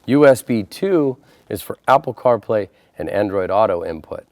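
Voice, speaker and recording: A man's voice: fairly low pitch, expressive, normal speed, darkish tone, dry recording. A man presenting an installation tutorial, talking through each step in a plain, methodical, procedural way. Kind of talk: step-by-step instructional narration.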